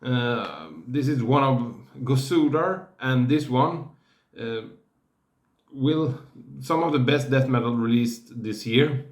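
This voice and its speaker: A man reviewing music releases in a casual, hesitant way, pausing often with fillers. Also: mid-range voice, reverberant. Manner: slow, hesitant, many fillers.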